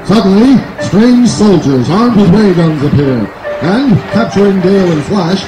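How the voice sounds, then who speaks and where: Man as high-voiced, urgent radio serial narrator. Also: lively intonation, unhurried. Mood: excited. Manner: urgent, theatrical. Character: excited old-time radio serial announcer. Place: outdoor woodland stage at night. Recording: echoey live stage over music.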